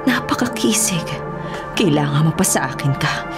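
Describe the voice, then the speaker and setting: Theatrical, scheming seductress, wildly swooping voice. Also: female voice, lively intonation, normal speed. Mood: seductive, obsessed. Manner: breathy coaxing that flips into shrill melodramatic cries. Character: seductive, scheming wife of a nobleman, imperious and deceitful. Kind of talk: Filipino radio drama acting. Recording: loud music bed under voice.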